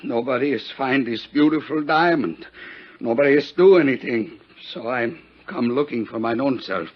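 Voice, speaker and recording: A man's voice: medium pitch, animated, normal speed, close to an everyday voice. A man playing a flustered German-accented diamond dealer. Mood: flustered, protesting. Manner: mangled English grammar, fussy protesting. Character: flustered, indignant European male diamond dealer. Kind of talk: 1950s radio comic character acting. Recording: dull vintage 1950s radio recording.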